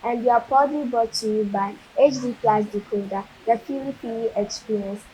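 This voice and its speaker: Young woman host giving instructions to viewers, slightly high, light hiss. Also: moderate pace, dark tone, poor audio. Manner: instructional, addresses viewers directly. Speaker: segment host. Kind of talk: presenting a how-to segment. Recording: faint hiss.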